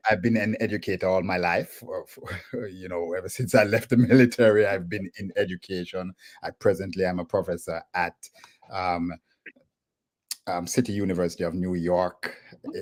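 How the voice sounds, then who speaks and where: Jamaican man, deep measured voice. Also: a little mellow. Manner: measured, with hesitant fillers. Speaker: guest, professor. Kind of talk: video call interview. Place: home office. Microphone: video call.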